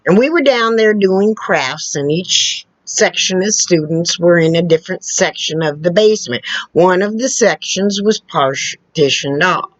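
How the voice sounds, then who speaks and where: A woman telling personal stories in a casual way, with a loud, carrying voice and a slow, halting delivery full of restarts and asides. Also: on the low side, expressive, no room sound. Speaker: storyteller. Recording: dull lo-fi recording.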